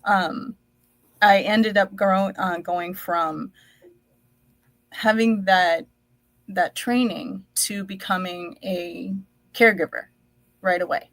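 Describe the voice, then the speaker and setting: A woman born in the United States whose first language was Dutch, reflectively telling her life story as a guest, with many pauses and fillers. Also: mid-range voice, no room sound, low-quality recording.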